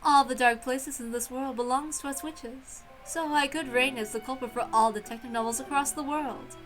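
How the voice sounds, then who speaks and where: A woman performs a centuries-old witch from a visual novel, grand and playful. The voice is fairly high and sharply bright, with a teasing, theatrical delivery that relishes every challenge. Music plays behind it. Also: fairly even intonation, normal speed, poor audio. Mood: smug, intrigued. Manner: theatrical, teasing, given to chuckling. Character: haughty, playful centuries-old female witch who relishes mystery games. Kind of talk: dramatic reading of a visual novel.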